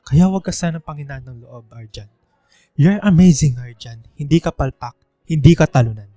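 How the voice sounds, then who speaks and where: Man playing a mellow, caring young suitor. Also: fairly high pitch, moderate pace, no room sound, studio quality, faint music behind, natural voice. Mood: earnest, comforting. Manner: gentle, encouraging. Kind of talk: radio drama dialogue.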